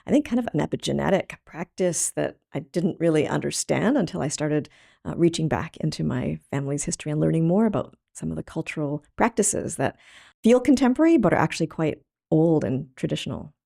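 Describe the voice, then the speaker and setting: A middle-aged woman who grew up in Thailand, an artist talking through her story in a thoughtful, halting way with many pauses and ums. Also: low-pitched, expressive, gravelly, warm tone. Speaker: artist guest. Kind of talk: reflective interview talk.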